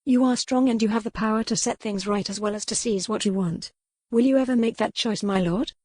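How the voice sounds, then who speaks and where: A woman's voice: on the high side, fairly quick, a little mellow, no room sound, natural voice. Woman voicing an earnest captive young lady, dry recording. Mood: challenging, pleading. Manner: earnest, formal, challenging. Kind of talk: audiobook character dialogue.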